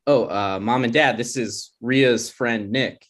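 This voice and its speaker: A man's voice: medium pitch, takes it slowly, fairly bright tone. Man playing an awkward young grad student. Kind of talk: table read of a comedy pilot script.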